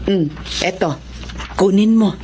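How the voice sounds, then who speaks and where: An actress playing a kindly, generous Filipino benefactress in a radio drama, gentle, measured and reassuring. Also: female voice, medium pitch, lightly acted. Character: kindly, generous older benefactress, a well-off madam. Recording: noticeable background noise and ambience.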